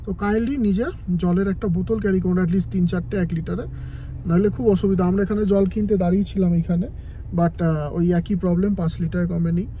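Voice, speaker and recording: Fast-talking high-voiced man vlogging. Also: steady tone. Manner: chatty, addresses viewers directly with tips. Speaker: travel vlogger. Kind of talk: casual travel vlog narration. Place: car and outdoor sightseeing spots. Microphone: phone held by the speaker. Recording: phone recording with loud road and outdoor noise.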